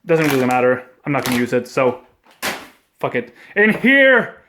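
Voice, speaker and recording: A young man with a Swedish accent, unboxing computer parts in a casual, excitable vlog at home, swearing and joking as he goes. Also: medium pitch, normal speed, a little hiss or hum. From Sweden. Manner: excitable, swears a lot, jokey. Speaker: gaming vlogger. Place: at home indoors. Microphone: webcam.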